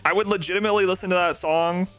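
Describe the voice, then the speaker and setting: A man with a nasal voice chatting casually on a live stream, rambling and excitable, with faint music behind him. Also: high voice, very fast. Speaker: shiny-hunting live streamer.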